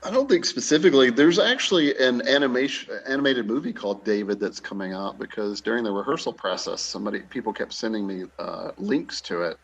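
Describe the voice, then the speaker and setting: A man's voice: fairly low pitch, expressive, brisk, hardly any pauses, slightly rough, poor audio. A man speaking casually as a show guest, with a joking, self-deprecating manner. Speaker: guest, actor and show producer. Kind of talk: casual chat.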